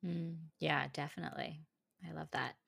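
A woman hosting an interview, asking questions in short, gentle, conversational prompts. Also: low voice, steady tone, moderate pace, vocal fry, breathy edge, no echo, clean studio sound. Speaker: podcast host, interviewer.

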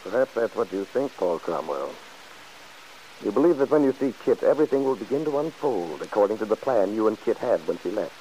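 A man's voice: fairly low pitch, big pitch swings, fairly quick, mellow, poor audio. A man narrating an old-time radio soap opera in a dramatic, portentous scripted style. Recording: hissy vintage radio broadcast recording.

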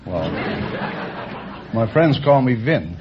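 A man from Canada chats as a quiz show contestant, in a medium-pitched voice. The old radio recording is dull and faintly noisy. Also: very animated, slow. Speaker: dog show judge, contestant. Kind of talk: conversational anecdote on quiz show.

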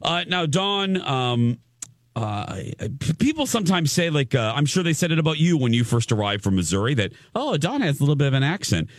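A man's voice: a little high, big pitch swings, no room sound, clean studio sound. A man hosting a morning radio show with a slight Midwestern American accent, bantering and reading promos. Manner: upbeat, quick reads of time and promos.

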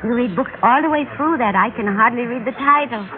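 A woman's voice: on the high side, brisk, natural voice. A woman acting in an old radio drama as a bashful, earnest young West German factory girl. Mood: coy, wondering. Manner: coy, earnest, gently questioning. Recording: tinny, very noisy old radio recording.

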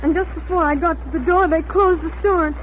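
An actress playing a distressed, loyal young daughter in a 1940s radio drama, earnest and pleading. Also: female voice, very high voice, steady tone, brisk. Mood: weary. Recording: scratchy, phone-like 1940s radio recording.

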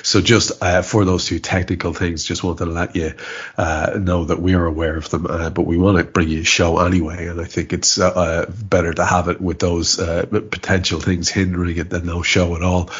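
A congested man with a cold co-hosts a football chat show in a low, husky voice. He talks hesitantly and stumbles over repeated words. The sound is dull, like a remote call. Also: flat delivery, moderate pace. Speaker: podcast co-host. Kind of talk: casual football podcast chat.

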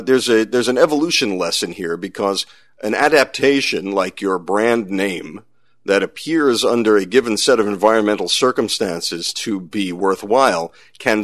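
A man's voice: lowish voice, dry recording. An American man hosting a science podcast in a conversational, wry way with dry humor. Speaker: podcast host.

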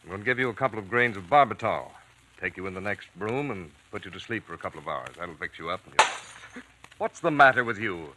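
An actor playing a middle-aged plant doctor in an old radio drama, fatherly, bossy and gently scolding. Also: low-pitched, lively intonation, fairly quick. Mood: exasperated. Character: brisk, fatherly male plant doctor, gruffly reassuring. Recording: noisy vintage radio recording.

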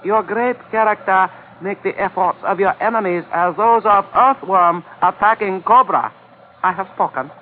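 An actor playing a flattering Chinese police officer in a 1940s radio drama, speaking ceremonious, broken English with a Chinese accent. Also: male voice, sits high, normal speed, natural voice. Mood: smooth flattery. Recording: noisy, narrow-band old radio recording.

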